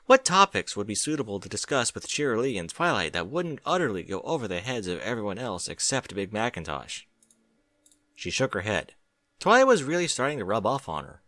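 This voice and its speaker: A man reads a story aloud in a low, bright voice that rises and falls with animated expression. The recording is close and dry, with no room echo. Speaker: fan fiction reader.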